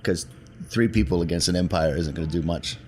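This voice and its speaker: A man's voice: low-pitched, a little fast, a little mellow, slight background noise. A man talking casually as a player in a roleplaying game, reasoning through plans.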